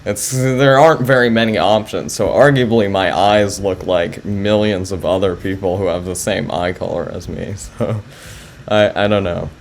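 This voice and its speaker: A man with a low voice, chatting casually with viewers as a chess streamer, soft-spoken and hesitant with many fillers. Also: fairly even intonation, moderate pace, gravelly, a little hiss or hum. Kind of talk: casual live-stream chat.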